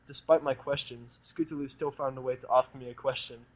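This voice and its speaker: A man's voice: mid-range voice, fairly even intonation, fairly quick. A man narrating a first-person story as an amateur reader, in a wry, informal manner.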